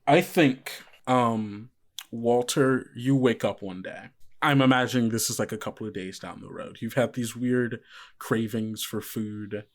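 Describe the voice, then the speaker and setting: Male game master for actual-play horror podcast. Conversational, improvised narration, average pitch, often asks questions. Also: a little slow.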